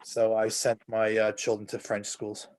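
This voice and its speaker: A man's voice: lowish voice, monotone, normal speed, a little mellow. Direct, chatty man on a call. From Canada. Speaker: study group participant. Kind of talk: casual chat on a video call. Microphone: video call.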